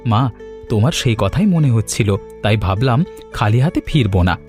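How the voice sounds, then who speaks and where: A man's voice: mid-range voice, normal speed, faint music behind, natural voice. A man playing a devoted, food-loving Bengali son in a cartoon, affectionate and contented in manner. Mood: pleased. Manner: affectionate, expressive. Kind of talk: animated moral story voice acting.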